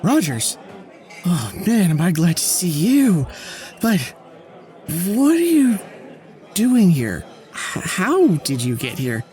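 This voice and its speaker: Man voicing a nervous fugitive scientist, high voice, swoops up and down, slow, stammering. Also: wildly swooping pitch, a little mellow, heavily acted. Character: anxious male scientist on the run, paranoid. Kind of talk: amateur audio drama acting.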